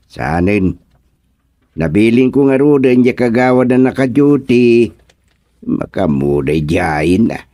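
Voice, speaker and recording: A man's voice: medium pitch, expressive, slow, pauses often, mellow, no echo, clean studio sound. A man playing a comic, grumbling village man in an Ilocano radio serial, muttering and full of exclamations.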